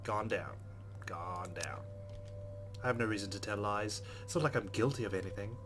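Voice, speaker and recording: A man's voice: on the low side, normal speed, music in the background. A man playing a visual novel, reading its narration and adding wry, amused asides. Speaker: let's play gamer. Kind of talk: reading game text and reacting.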